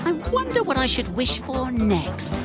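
A woman's voice: high voice, wildly swooping pitch, a little slow, music in the background, heavily acted. A woman voicing a cheerful, earnest young boy tank engine in a children's cartoon. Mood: excited, eager. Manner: bright-eyed, earnest, exclamatory.

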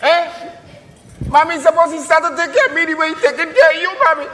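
A man's voice: very high voice, steady tone, fairly quick, big-room sound, background noise. A young man acting a defiant teenage son who protects his mother, mocking then tearful. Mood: taunting. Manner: cocky and mocking, turning tearful.

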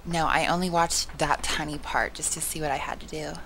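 Low, gravelly, hoarse young woman. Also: steady tone, fairly quick, a little hiss or hum, poor audio. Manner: chatty and self-mocking, drops into soft whispery asides. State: hoarse, sore throat. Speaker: gameplay commentator. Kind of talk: casual let's-play commentary.

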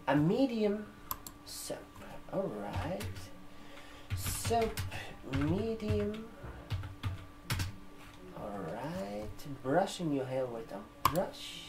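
A man in his twenties with a non-native English accent, speaking gently and haltingly in an ASMR roleplay as a barber shop receptionist. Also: on the high side, low-quality recording. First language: not English. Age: 20s. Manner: gentle, halting, drawn-out phrasing with check-in questions. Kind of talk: ASMR roleplay, soft customer-service talk. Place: indoor room, seated at a desk with a laptop. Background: computer keyboard typing.

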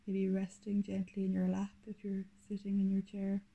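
Woman guiding meditation, very mellow soft tone. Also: medium pitch, completely flat delivery, vocal fry, slightly rough, poor audio. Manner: slow, soothing, deliberate delivery of short phrases. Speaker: meditation guide. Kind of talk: guided meditation narration.

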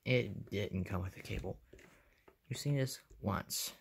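A man's voice: on the low side, a little slow, creaky, a little hiss or hum. A young man with an American accent casually narrates a show-and-tell in short, offhand phrases, recorded on a handheld phone in a bedroom. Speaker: hobbyist video maker. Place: small carpeted bedroom, indoors. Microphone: handheld phone behind the camera.

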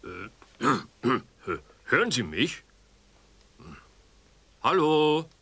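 A man voicing a good-natured little bear with a husky voice and halting delivery in a children's audio play. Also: mid-range voice, very animated, pushed and bright, heavily acted. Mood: careful. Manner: halting, repeats words.

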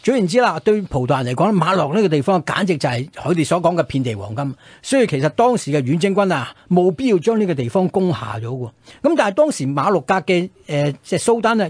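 A man's voice: on the high side, slightly rough, no room sound. A man with a Hong Kong Cantonese accent telling history conversationally as a radio co-host, explaining with emphatic turns of phrase.

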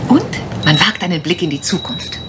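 A woman's voice: fairly low pitch, lively intonation, moderate pace, lightly acted. A woman playing a playful, confident young lady detective in a German radio crime drama. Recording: noisy old radio play recording.

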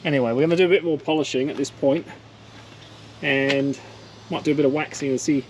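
A man's voice: fairly high pitch, a little fast. Australian man explaining boat work outdoors. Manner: relaxed, matter-of-fact explaining while working. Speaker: boat owner doing his own maintenance. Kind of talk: casual how-to narration. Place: outdoor boatyard. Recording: faint outdoor noise.

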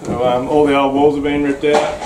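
A man in his thirties with an Australian accent, a builder explaining damage on a relaxed site walkthrough. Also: a little high, a little fast. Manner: relaxed, explanatory, lots of ums. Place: house under renovation, indoors. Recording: echoey bare rooms, noisy.

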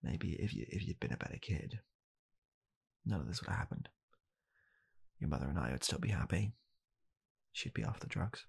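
Very deep, gravelly British man, hushed deadpan. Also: moderate pace, long pauses. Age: young adult. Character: bitter, weary father coldly blaming his young child. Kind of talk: deadpan comedic ASMR roleplay monologue. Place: small home room, indoors.

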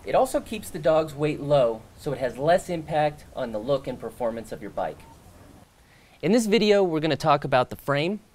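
A man in his thirties presenting a product outdoors, explaining in a relaxed way with the odd filler and restart. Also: on the high side, dry recording. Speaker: company co-founder presenting product. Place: outdoors by a stone wall.

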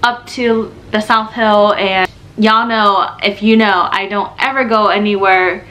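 A woman's voice: medium pitch, fairly even intonation, measured pace, fairly bright tone, low-quality recording. A young woman talking casually to her camera at home, chatty and confiding, with a stuffy nose and a little out of breath. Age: young adult. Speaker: vlogger. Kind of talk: casual vlog talk. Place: home room, indoors.

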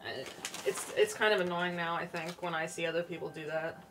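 A young woman streamer chatting casually and softly while wrapping gifts on her bedroom floor, stammering a little now and then. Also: lowish voice, fairly quick, noisy background. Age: young adult. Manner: soft-spoken, relaxed, stammers a little. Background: background music.

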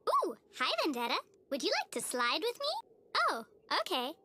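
A woman voicing a naive, sweet, relentlessly cheerful little schoolgirl in a TV cartoon, with a childlike squeaky voice and a gushing, singsong, oblivious manner. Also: wildly swooping pitch, moderate pace, noisy background. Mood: eager, cheerful. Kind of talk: animated TV cartoon acting. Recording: music playing behind the voice.

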